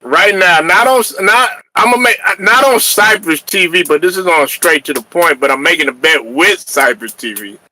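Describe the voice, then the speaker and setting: A man hosting a casual sports talk show, animated and trash-talking, often laughing and hollering. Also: on the high side, moderate pace, hardly any pauses, low-quality recording. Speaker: podcast host.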